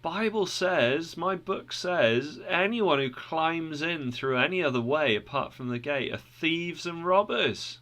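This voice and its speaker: Guy doing a earnest pilgrim, urgent and pleading, a bit higher than his own voice. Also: animated, measured pace, few pauses, pushed and bright.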